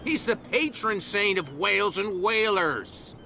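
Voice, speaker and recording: A man's voice: sits high, expressive, unhurried, vocal fry, bright, forceful tone, a little hiss or hum, heavily acted. A man voicing a talkative, conspiratorial out-of-work drunk in a video game. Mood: chatty. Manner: chatty, conspiratorial. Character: jumpy, talkative male out-of-work island drunk.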